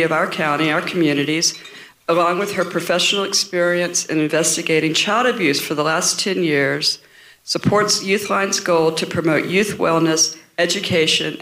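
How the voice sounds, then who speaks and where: A woman's voice: lowish voice, a bit bright, echoey room, rough recording. A woman hosting a public forum, announcing the program and reading out guest introductions. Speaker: event host.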